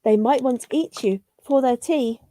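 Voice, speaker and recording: Woman as mother sparrow, children's storybook, high pitch, helpful, kindly advising. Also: normal speed, mellow, close to an everyday voice.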